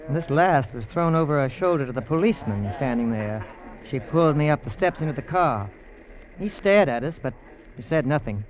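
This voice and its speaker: An actor playing an earnest American oil engineer with an American accent, telling his adventure in measured old-time radio drama style. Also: mid-range voice, expressive, close to an everyday voice. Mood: earnest, suspenseful. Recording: muffled vintage radio recording.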